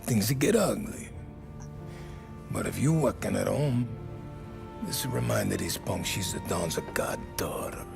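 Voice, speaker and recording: A warm-hearted Italian barkeep in a crime game cutscene. His voice is low and gravelly, with a lively swing in pitch, and he talks slowly, groping for the English words. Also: music in the background, exaggerated voice. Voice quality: gravelly, halting broken English. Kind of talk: video game cutscene acting.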